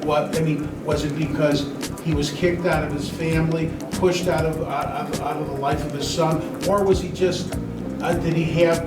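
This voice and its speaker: A man's voice: on the high side, fairly even intonation, moderate pace. A man in a panel debate, explaining and arguing his points with pointed questions. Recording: echoey, distant mic, loud music.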